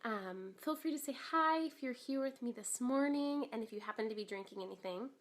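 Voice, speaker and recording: A woman in her thirties hosting a casual live stream, slightly raspy from a cold, chatty and upbeat with lots of ums and likes. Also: a little high, animated, a little fast.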